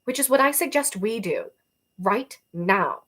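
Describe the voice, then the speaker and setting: A woman's voice: mid-range voice, expressive, unhurried, a bit bright, voice pushed up. A woman voicing a defiant, urgent young female rebel soldier in a novel reading, blunt and emphatic. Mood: urgent, insistent.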